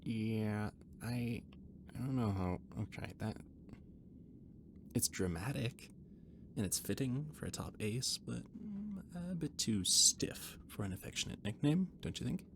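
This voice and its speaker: A man playing a shy, rambling alien catboy ship mechanic in an intimate roleplay audio drama, stammering and gently defensive as he talks. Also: medium pitch, lively intonation, unhurried, background noise. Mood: tentative, affectionate.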